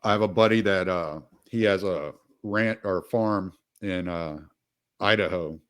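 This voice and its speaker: A man in his forties hosts a relaxed talk show, his voice on the low side. He rambles easily and chuckles often. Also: measured pace, clean tone. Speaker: podcast host. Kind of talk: casual interview conversation.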